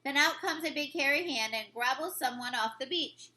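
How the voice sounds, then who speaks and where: A woman voicing a gentle, kindly male giant from a children's storybook, explaining earnestly in muddled grammar with invented words. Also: high-pitched, normal speed. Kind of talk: children's storybook read-aloud.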